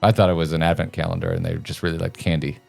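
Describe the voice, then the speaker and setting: A man co-hosting a video game show, talking casually in an animated, rambling and opinionated way. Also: low voice, rapid-fire, vocal fry, soft background music. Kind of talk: casual chat and commentary.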